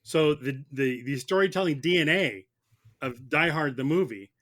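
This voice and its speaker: A man's voice: fairly high pitch, animated, moderate pace, a bit bright. A man playing a prosecutor in a comic mock trial, making his case with wry, mock-serious lawyerly rhetoric. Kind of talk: semi-scripted comic courtroom argument.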